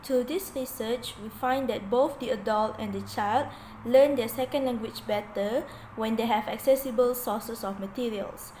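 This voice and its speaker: A young woman reading out a prepared report in a steady read-aloud way as a student presenter. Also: fairly high pitch, normal speed, a little hiss or hum, low-quality recording.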